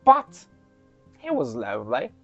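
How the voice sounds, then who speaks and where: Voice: mid-range voice, huge pitch swings, measured pace, a bit bright, faint music behind. A storyteller hosting a folktale for children, narrating theatrically with chatty, casual asides. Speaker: storyteller and host. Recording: slightly dull, lo-fi microphone sound.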